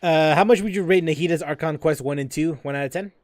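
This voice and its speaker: A man's voice: on the high side, fast talker, dry recording. A man casually commenting while he plays a video game, musing and rambling as he thinks aloud. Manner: musing, rambling, with restarts and laughs. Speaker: gaming streamer.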